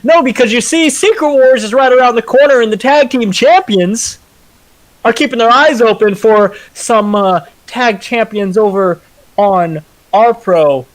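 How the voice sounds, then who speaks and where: A man with a fairly high voice hosts a wrestling promo in a brash, showy manner. He boasts as a tag team champion and plays to the crowd. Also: unhurried, poor audio. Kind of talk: hyped wrestling promo talk.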